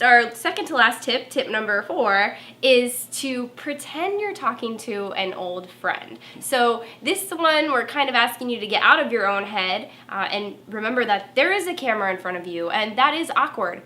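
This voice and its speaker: A young woman co-hosts a casual tips talk with a nasal voice and a hint of valley-girl lilt. Her tone is bright and a little high. She speaks easily and conversationally. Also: slight background noise. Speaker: co-host, former TV reporter.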